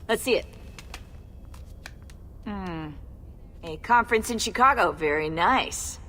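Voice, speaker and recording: An actress playing a brisk, wry young courier woman in a video game, with a dry, playful manner. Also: medium pitch, animated, bright, forceful tone, exaggerated voice. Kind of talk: naturalistic video game acting.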